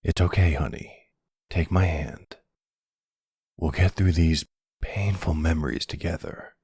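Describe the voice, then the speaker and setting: A man playing an older, calm and devoted mafia boss in an intimate roleplay audio drama, measured and affectionate. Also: no echo, clean studio sound, heavily acted. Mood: gentle, comforting. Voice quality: low, gravelly.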